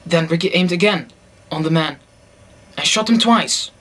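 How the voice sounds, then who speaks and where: A man with a high-pitched voice narrates a radio play. He reads scripted story passages in the third person, linking the dramatic scenes. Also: moderate pace, a bit bright, slightly rough.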